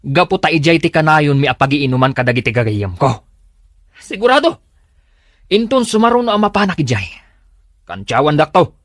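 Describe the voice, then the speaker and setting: A man's voice: fairly high pitch, sing-song, brisk, long pauses, dry recording, studio quality. An actor playing a cocky, defensive young womanizing boyfriend in a Filipino radio drama, with a Filipino accent. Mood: scolding. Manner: defensive, exasperated, self-satisfied. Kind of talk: Philippine radio drama acting.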